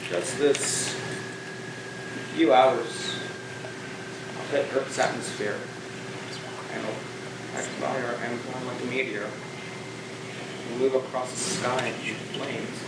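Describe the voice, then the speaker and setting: A young man on stage playing a brooding, wistful spaceship captain facing death, in halting, reflective monologue. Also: medium pitch, fairly even intonation. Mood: resigned, solemn. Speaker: student stage actor. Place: school theatre stage. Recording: echoey stage, distant mic, clear background noise.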